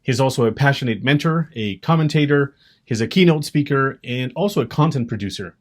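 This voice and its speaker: A male podcast host with a middle-pitched voice opens the show and greets his guest. He talks politely and stops now and then on small fillers. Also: expressive, brisk.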